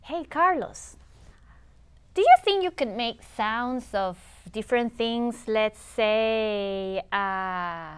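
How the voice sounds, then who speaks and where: A woman hosting a TV book interview, full of repeated praise. Her voice sits fairly high and swings widely in pitch, and she speaks slowly, with faint music behind her. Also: animated, clear, resonant tone. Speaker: host.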